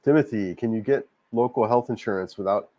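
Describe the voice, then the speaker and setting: A man hosting a casual livestream, a little hoarse from a cold, rambling and repeating himself as he answers viewer comments. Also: fairly low pitch, brisk, dark tone, no echo, lo-fi sound. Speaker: livestream host. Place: home in Cebu, Philippines.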